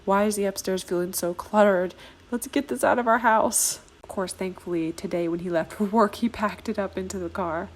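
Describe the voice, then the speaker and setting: A woman in her thirties narrating a home video in a casual voice-over, her voice a bit hoarse from a cold, chatty and quick to laugh. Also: mid-range voice, steady tone. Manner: chatty, self-deprecating, laughs often. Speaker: vlogger.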